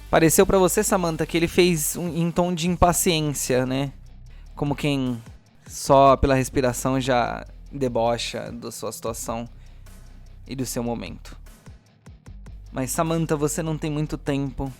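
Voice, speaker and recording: A Brazilian man narrating a tabletop game as game master, in a deliberate, theatrical storyteller's manner. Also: mid-range voice, steady tone, soft background music.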